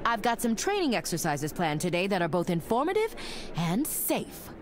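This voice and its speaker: A stern, sardonic female agent who teaches recruits. Her authoritative voice swings expressively, over clear background ambience. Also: medium pitch, huge pitch swings, normal speed, noisy background. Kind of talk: animated video game acting.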